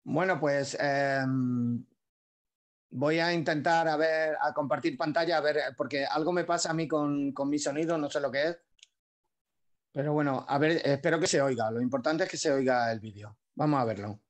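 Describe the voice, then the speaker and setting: Middle-aged male host, slightly high voice, relaxed, chatty, webcam audio. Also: moderate pace. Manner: easygoing, fumbling with tech aloud. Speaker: host of the online award ceremony. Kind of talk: casual video-call hosting. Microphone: laptop webcam on a video call.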